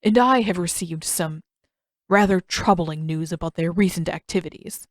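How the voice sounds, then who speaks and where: A woman voicing a stern, proud old male master in a story reading, speaking in a formal, measured, condescending way. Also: fairly low pitch, no room sound, studio quality, natural voice. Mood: grave, scolding. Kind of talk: audiobook-style fan fiction character reading.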